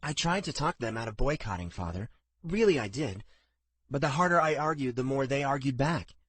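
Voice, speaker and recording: A man's voice: mid-range voice, lively intonation, fairly quick, fairly bright tone, no room sound, voice pushed up. A man voicing a spirited young daughter who talks eagerly and chattily to her father in a storybook reading. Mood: playful.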